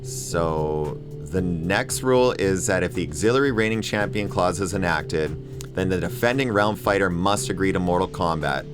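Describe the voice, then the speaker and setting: Male video review host, low register, wry and mock-exasperated film commentary over a clear music bed. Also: normal speed. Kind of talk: comedic movie commentary, semi-scripted.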